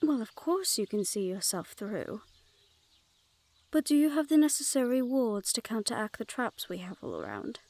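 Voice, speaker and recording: Woman acting a high-voiced, teasing Fae forest guardian. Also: sing-song, normal speed, darkish tone. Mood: formal, assured. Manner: playful, sardonic, haughty. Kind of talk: fantasy audio roleplay acting.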